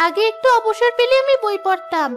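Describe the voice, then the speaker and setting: A woman's voice: animated, a little fast, over music. A woman voicing a hurt, earnest young schoolgirl in a cartoon, with a childlike voice. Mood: sad. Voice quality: very high, childlike. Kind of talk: cartoon voice acting.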